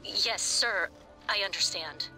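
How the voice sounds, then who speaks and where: An actress playing a guarded, professional female space station crew member in a video game, crisp and restrained. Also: on the low side, takes it slowly, pushed and bright, faint music behind, full character voice. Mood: dutiful, uneasy.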